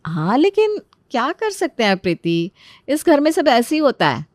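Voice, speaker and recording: A woman voicing a dutiful, traditional elder sister-in-law who explains gently and reassuringly in a family story. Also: high-pitched, wildly swooping pitch, normal speed, dry recording, pitched above the performer's own voice. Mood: resigned. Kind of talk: acted Hindi family story dialogue.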